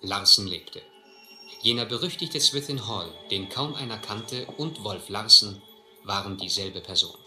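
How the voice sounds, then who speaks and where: An actor playing a stern, determined sea captain who narrates and commands in a firm, measured manner in a radio adventure play. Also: male voice, lowish voice, pushed and bright, reverberant, faint music behind. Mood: grave, narrating. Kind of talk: German audio adventure drama.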